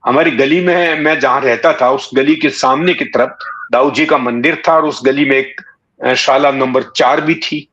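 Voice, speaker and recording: A man in his sixties from Bikaner in Rajasthan, reminiscing in an interview with halting, deliberate phrasing. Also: medium pitch, steady tone, a bit bright. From Bikaner, Rajasthan, India. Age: 60s. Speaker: interview guest, writer and satirist. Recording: dull, muffled lo-fi audio.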